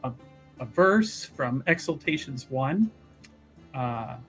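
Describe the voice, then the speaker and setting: A man playing a tabletop roleplaying game, improvising his character's actions in a halting, wordy way. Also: mid-range voice, expressive, fairly bright tone, faint music behind.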